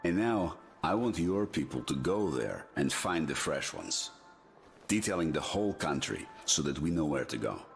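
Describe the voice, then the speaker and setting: An actor playing a middle-aged military commander in a game drama, chummy and persuasive but ruthless and used to giving orders. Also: male voice, very deep voice, big pitch swings, moderate pace, gravelly, faint music behind, heavily acted. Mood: secretive, commanding. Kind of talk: dramatic video game cutscene acting.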